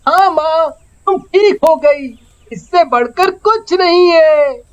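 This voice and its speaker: A woman's voice: very high-pitched, slow pace, highs cut off. A woman voicing a fisherman's eager young son in a story, childlike, pleading and emphatic. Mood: tender.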